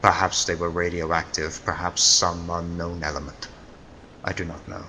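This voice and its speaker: An actor playing a million-year-old immortal Neanderthal villain, theatrical and grandiose, in old-time radio drama style. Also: male voice, deep voice, level tone, normal speed, pushed and bright, slight background noise. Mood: brooding recollection. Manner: theatrical, grandiose, menacing declamation.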